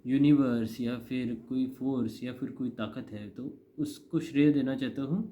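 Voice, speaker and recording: Very dark-voiced Indian man, rambling casually. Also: mid-range voice, steady tone, moderate pace. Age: 30s. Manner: rambling, repeats phrases for emphasis. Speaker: vlogger. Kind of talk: casual confessional monologue to camera. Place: indoor room. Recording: dull, lo-fi.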